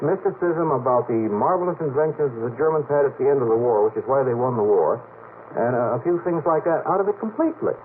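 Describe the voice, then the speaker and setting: A man with a medium-pitched voice takes the sceptic's part on a radio panel. He is dry and contrarian, stumbling into sentences before pressing his point. The sound is a thin, noisy mid-century broadcast recording. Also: expressive, fast talker. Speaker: panel guest, sceptical debater. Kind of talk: argumentative radio panel talk. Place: radio studio. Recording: noisy, phone-like old 1950s radio recording.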